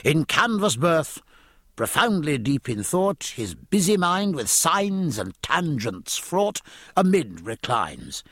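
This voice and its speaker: A man's voice: fairly high pitch, big pitch swings, slow, fairly bright tone, no room sound, studio quality, pitched above the performer's own voice, exaggerated voice. A man voicing a proud young midshipman in an audiobook, declaiming verse in a pompous, mock-grand manner. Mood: proud, declamatory. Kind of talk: audiobook character acting.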